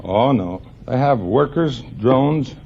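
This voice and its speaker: A man's voice: mid-range voice, expressive, slow pace, gravelly, darkish tone, husky, highs cut off. A man answering a quiz host as a contestant, plainly, briefly and a bit hesitantly, on an old radio broadcast.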